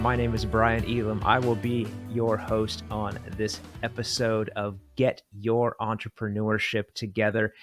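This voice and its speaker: A man's voice: on the low side, no room sound, studio quality. A man hosting an interview podcast, delivering intros and questions to his guest. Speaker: podcast host.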